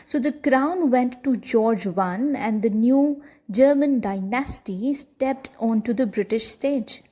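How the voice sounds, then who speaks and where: A woman's voice: fairly high pitch, takes it slowly, dark tone. A woman reading scripted educational narration for a short history film.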